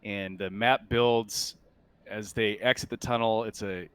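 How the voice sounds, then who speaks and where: A man running a tabletop sci-fi roleplaying game as game master, improvising his narration in a halting, thinking-aloud way. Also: fairly low pitch, fairly even intonation, clean tone, no room sound.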